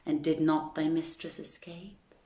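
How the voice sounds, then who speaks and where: Storybook master and father, read by a woman: distraught, dramatic, unhurried, roomy sound. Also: deep voice, level tone, dark tone. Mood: anxious questioning.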